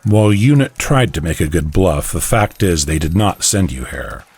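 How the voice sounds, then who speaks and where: An actor in an audio drama playing a stern US army general, clipped, authoritative and suspicious. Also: male voice, low voice, animated, normal speed, darkish tone, slightly rough, no echo, heavily acted.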